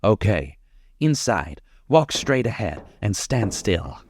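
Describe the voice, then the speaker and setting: Man acting a low, brooding killer, radio suspense. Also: big pitch swings, a little mellow, a little hiss or hum. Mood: menacing, mocking. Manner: slow, colloquial tough-guy talk with sudden swings. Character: brooding, lonely, menacing confessed killer in a crime drama. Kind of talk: 1940s-style suspense radio drama acting.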